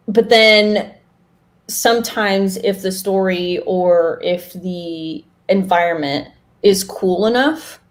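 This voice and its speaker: Woman, podcast co-host, mid-pitched voice, casual chat, informal storytelling with quoted asides.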